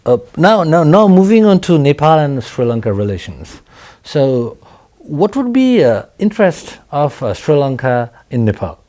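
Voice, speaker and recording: A man interviewing a guest, framing his questions haltingly and repeating words as he goes. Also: fairly high pitch, very animated, dark tone.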